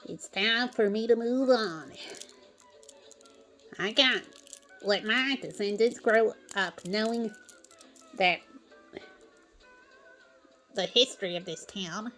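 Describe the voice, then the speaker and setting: Lady as a folksy cowboy sponge, weary n stubborn, talks super slow, cartoon fan drama. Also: medium pitch, pushed and bright, soft background music. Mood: wistful, resolute.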